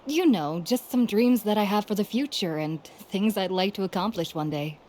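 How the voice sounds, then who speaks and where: A woman's voice: mid-range voice, moderate pace, a little mellow, a little hiss or hum, lightly acted. A woman playing an amiable young townswoman in a video game, talking in a conversational, explanatory way. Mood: explaining. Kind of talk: video game character acting. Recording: game music behind. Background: game music.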